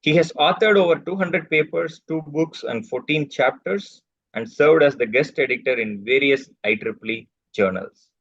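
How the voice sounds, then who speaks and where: A man's voice: a little high, no room sound. Man reading an introduction. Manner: reads out credentials in measured bursts. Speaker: host introducing a guest speaker. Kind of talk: formal reading of a speaker introduction. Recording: dull, dry online call audio.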